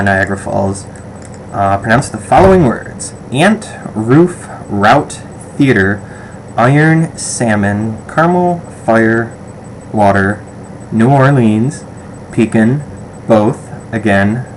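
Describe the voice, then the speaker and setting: A man's voice: lowish voice, slight background noise, rough recording. A young man with a western New York American accent rambles casually through a survey vlog, full of ums and restarts. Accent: western/upstate New York American. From western New York, USA. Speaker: vlogger.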